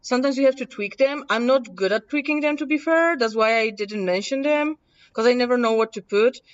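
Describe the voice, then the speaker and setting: Higher-pitched woman with mild Polish accent. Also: brisk. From Poland. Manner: chatty, self-correcting, thinking aloud. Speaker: workshop presenter. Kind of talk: casual teaching while demonstrating software. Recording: distant, dull room recording.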